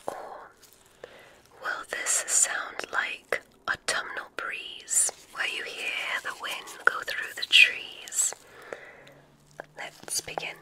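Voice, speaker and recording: A woman whispering breathily in an ASMR roleplay as a kindly witch examiner, soft and gently reassuring. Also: very slow, poor audio.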